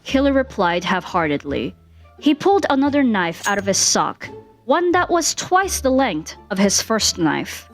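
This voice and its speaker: A woman's voice: mid-range voice, sing-song, unhurried, faint music behind. A woman working as narrator, reading a fan fiction story aloud in a dramatic storytelling style.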